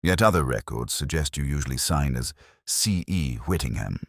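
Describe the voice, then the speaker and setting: A man voicing a probing, measured Victorian detective with formal speech in an audiobook story. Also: rumbling low, lively intonation, creaky, a bit bright, slightly rough, no echo, clean studio sound, heavily acted. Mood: quietly inquisitive. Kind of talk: audiobook character dialogue.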